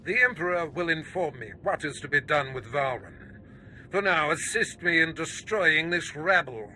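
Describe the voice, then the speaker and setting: An actor playing an arrogant, wrathful dark lord in a video game, declaiming in a pompous, theatrical, menacing way with a gravelly, forceful voice. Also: male voice, a little high, lively intonation, moderate pace, a little hiss or hum. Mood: haughty, scornful. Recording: music under the voice.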